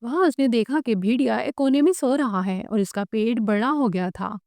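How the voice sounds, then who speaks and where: A woman narrates a story from a script in a fairly high voice. The sound is dry, with very little room in it, as she takes the role of storyteller. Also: moderate pace, darkish tone, no room sound.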